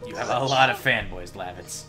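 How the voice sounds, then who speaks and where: A man's voice: on the low side, brisk, pushed and bright. A man giving casual, playful and sweary commentary as he plays a video game with friends. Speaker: player and voice actor in a group game playthrough. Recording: music behind the voice.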